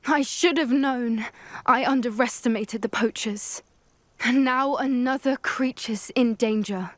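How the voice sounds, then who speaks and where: A woman's voice: on the high side, measured pace, a bit bright, no echo. An actress playing an earnest, passionate teenage schoolgirl witch in a video game cutscene, speaking expressively. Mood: upset, worried.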